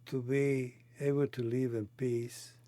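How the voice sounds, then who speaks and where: A man in his eighties from Cuba, a native Spanish speaker with a Cuban accent, recounting his life in halting, non-native phrasing. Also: medium pitch, level tone, mellow, dry recording. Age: 80s. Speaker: interviewee telling his life story. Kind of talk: reminiscing conversation.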